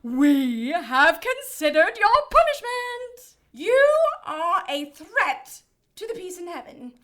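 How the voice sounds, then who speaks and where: A haughty, high-pitched cruel cherub. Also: female voice, sing-song, slow, a bit bright, heavily acted. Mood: stern, gloating. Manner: imperious, formal, theatrical, gloating. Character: haughty, cruel female cherub passing judgment for heaven's council. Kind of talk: audio drama acting.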